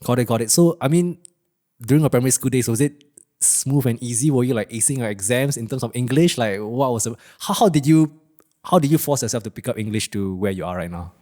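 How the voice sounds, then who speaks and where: A man's voice: medium pitch, fast, dark tone. A man hosting a podcast interview, rambling and stringing many questions together. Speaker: podcast host. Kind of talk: casual interview chat.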